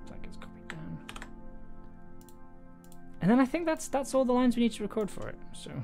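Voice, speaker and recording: A man's voice: very high-pitched, wildly swooping pitch, normal speed, a little mellow, soft background music. A young man hosting a casual livestream, sounding tired, rambling and often interrupting himself as he thinks aloud. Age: young adult. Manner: rambling, hesitant, self-interrupting. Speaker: livestream host, audio drama maker. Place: small indoor room at a desk.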